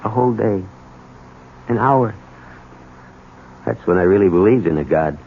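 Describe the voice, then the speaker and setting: An actor playing a troubled, desperate husband in a 1970s radio drama, halting and emotional. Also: male voice, fairly low pitch, expressive, phone-quality sound. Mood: anguished. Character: troubled, desperate husband and small business owner, bitter toward his father. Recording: thin, noisy old radio broadcast.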